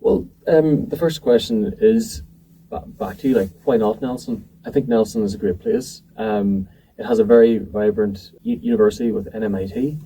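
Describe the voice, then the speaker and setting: A man's voice: fairly low pitch, normal speed, dark tone. A man answering interview questions about a tech event in a casual, hesitant way, with many ums and restarts. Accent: not from New Zealand. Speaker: event organizer, interview guest. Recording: distant mic with faint noise.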